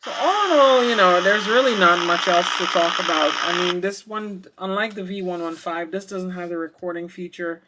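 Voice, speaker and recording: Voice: mid-range voice, normal speed, hardly any pauses, heavy background noise. A reviewer giving a casual product demonstration, thinking aloud in a hesitant way. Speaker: radio reviewer.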